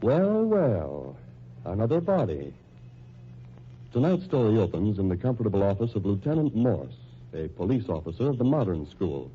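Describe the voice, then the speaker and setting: An actor playing the announcer and narrator of an old radio mystery show, delivering scripted lines. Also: male voice, fairly low pitch, sing-song, normal speed, mellow, slight background noise. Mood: measured, inviting. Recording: muffled old radio recording with music.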